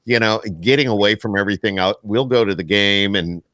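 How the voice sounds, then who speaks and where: A middle-aged American man with a fairly low voice, recorded dry and close. He hosts in an easy, conversational podcast style. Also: brisk, a bit bright, no echo. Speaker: podcast host and radio announcer. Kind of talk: casual podcast chat.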